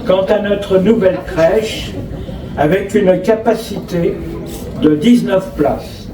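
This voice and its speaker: A man with an accent from France delivers a formal inauguration speech as a local official, reading in measured phrases and adding asides. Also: high voice. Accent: French from France. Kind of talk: inauguration speech, read from notes with ad-libbed asides. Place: outdoor school forecourt, public address. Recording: roomy echo, distant mic, clear background noise.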